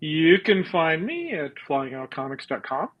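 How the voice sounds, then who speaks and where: A man's voice: a little high, sing-song, a little slow, highs cut off. A man co-hosting a live show, talking in a cheerful, upbeat way. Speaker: co-host.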